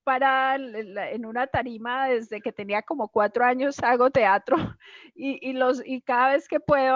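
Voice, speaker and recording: A woman's voice: a little high, no room sound, muffled recording. A Colombian woman with a Colombian accent, hosting a casual interview in an effusive, admiring way. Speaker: host, stage performer.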